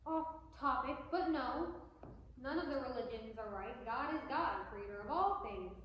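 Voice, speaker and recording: A woman's voice: high-pitched, expressive, moderate pace, muffled recording. A woman playing Death as a stern, impatient mentor who lectures curtly in an amateur stage drama. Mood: stern, explanatory. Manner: didactic, curt, impatient. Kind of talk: amateur theatre read performance. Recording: echoey room with faint noise.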